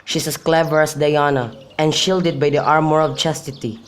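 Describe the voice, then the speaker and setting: Give this one to a melancholy young lover played by a man with a fairly high voice. He broods and pines in amateur radio-play style. The recording has faint noise and chirping birds behind it. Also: level tone, normal speed, natural voice. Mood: pining, despairing. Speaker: student actor. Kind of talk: amateur radio drama acting, modern-English Shakespeare.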